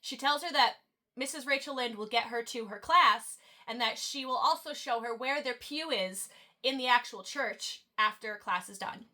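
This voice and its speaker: A woman's voice: on the high side, normal speed, bright, forceful tone. A young woman with a nasal voice and a sore throat, casually recapping a book to camera with playful asides and the odd stumble while reading aloud. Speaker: book channel host. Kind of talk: casual chapter recap with read-aloud quotes. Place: at home, talking to camera.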